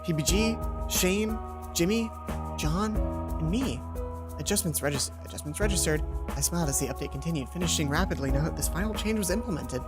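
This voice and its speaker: A let's play host reading visual novel text aloud and commenting on it, with dramatic, expressive delivery and drawn-out emphasis. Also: mid-range voice. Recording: music behind the voice.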